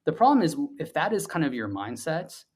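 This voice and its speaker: A man speaking as an interview guest, a founder explaining his thinking in a halting, conversational way with repeated words. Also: fairly high pitch, sing-song, breathy edge.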